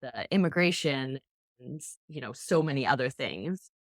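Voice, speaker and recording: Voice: medium pitch, expressive, moderate pace, no echo, clean studio sound. A podcast host in a casual interview, affirming and chatty, with frequent restarts.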